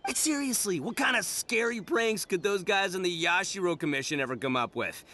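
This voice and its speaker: A boastful young oni gang boss for an anime game. His voice is high, bright and punchy, with big lively swings. He swaggers in slangy, theatrical bursts over music. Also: fairly quick, a little hiss or hum. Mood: animated griping. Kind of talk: anime game dub acting.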